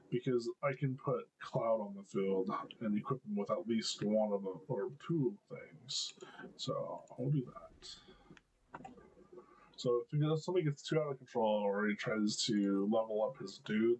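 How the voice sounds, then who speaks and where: Deep-voiced man thinking aloud. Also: a little hiss or hum, low-quality recording. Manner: thinks aloud, hesitant, many ums and restarts. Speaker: gameplay video creator. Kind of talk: casual live gameplay commentary.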